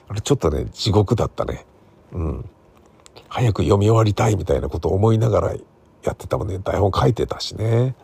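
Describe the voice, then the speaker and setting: A man's voice: deep voice, gravelly, breathy edge. A middle-aged man hosting a solo podcast in a casual, rambling, conversational way, recorded on a smartphone. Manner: rambling, conversational, seeking agreement with soft sentence endings. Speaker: podcast host and radio drama writer.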